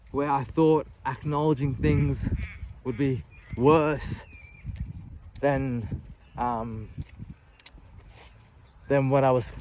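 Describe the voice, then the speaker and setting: A man in his late thirties speaks in a frank, searching monologue, stopping and restarting as he works through his thoughts. His voice sits at an everyday middle pitch. A faint background noise runs under the talk. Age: 30s. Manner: candid, halting, many restarts and "you know". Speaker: vlogger. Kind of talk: personal reflective monologue.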